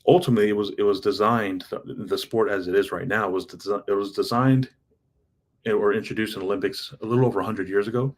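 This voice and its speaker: A low, husky male voice in his thirties, talking straight to the listener in a loose motivational monologue. He is earnest and rambling, and he often trips over his words and restarts. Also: steady tone, fast. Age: 30s. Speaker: self-improvement vlogger.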